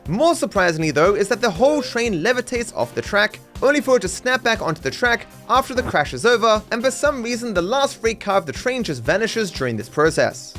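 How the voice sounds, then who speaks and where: A man's voice: high-pitched, big pitch swings, a little fast, hardly any pauses, fairly bright tone. A man delivering scripted, comedic game commentary with a sardonic, mock-dramatic manner. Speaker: video host and narrator. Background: background music.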